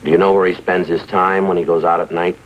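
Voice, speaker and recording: A man's voice: deep voice, level tone, fast talker, natural voice. A man playing a stern, methodical American police sergeant in a 1950s TV crime drama, clipped and matter-of-fact. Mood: firm, polite. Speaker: narrator and lead police sergeant. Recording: muffled 1950s TV soundtrack, music underneath.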